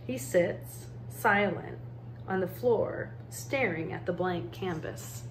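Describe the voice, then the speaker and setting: A woman reading a children's picture book aloud as an educator, in measured, deliberate phrases with pauses. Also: lowish voice. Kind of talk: storybook read-aloud narration. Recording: roomy echo, faint background noise.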